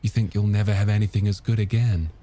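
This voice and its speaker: A man's voice: fairly low pitch, flat delivery, fast, warm tone, lightly acted. A man voicing a wistful, nostalgic married woman in an audiobook, reminiscing with some hesitation. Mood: gentle. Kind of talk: audiobook character dialogue.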